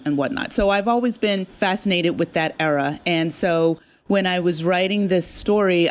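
An American woman from New Jersey, a guest writer talking casually in an interview. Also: low-pitched. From New Jersey, USA. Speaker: guest, audio drama writer and producer.

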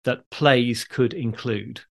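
A man's voice: mid-range voice, fairly even intonation, takes it slowly, gravelly, fairly bright tone. Man explaining history conversationally. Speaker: podcast host.

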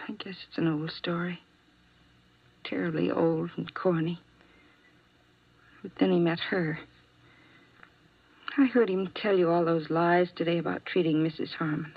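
An actress playing a young nurse who confesses to a killing in a 1950s radio drama, speaking quietly, with some words whispered. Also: female voice, lowish voice, steady tone, unhurried, long pauses, husky. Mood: confessing. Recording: dull old radio transcription.

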